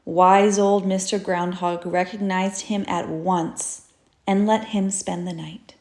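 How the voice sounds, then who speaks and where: Slow, expressive woman reading a storybook. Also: lowish voice. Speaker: storybook reader, teacher. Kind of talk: children's story read-aloud. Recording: roomy echo.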